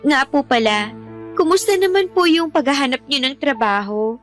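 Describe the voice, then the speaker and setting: A woman's voice: high-pitched, expressive, normal speed, soft background music. A woman playing a caring, polite nineteen-year-old Filipino daughter in a radio drama. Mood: gentle, wistful.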